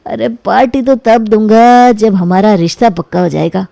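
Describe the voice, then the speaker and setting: A woman's voice: mid-range voice, fairly even intonation, moderate pace, gravelly, dark tone, dry recording, close to an everyday voice. A woman voicing an earnest young man eager to marry, coaxing and reassuring in a storybook tale. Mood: happy, eager. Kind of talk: animated storybook dialogue.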